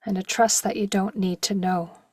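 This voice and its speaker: A woman leading a guided meditation slowly and gently in short, soft phrases, with a breathy voice that at times nears a whisper. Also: mid-range voice, steady tone, no room sound, clean studio sound. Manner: slow, gentle, with long pauses and short phrases.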